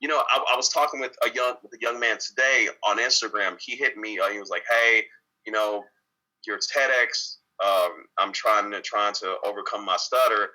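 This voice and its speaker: Man, interview guest on a video call, bright tense voice, lowish pitch, repeats and restarts words, earnest. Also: moderate pace, poor audio. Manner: talks openly with frequent repeated words and restarts; reflective, earnest. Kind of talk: candid conversational interview.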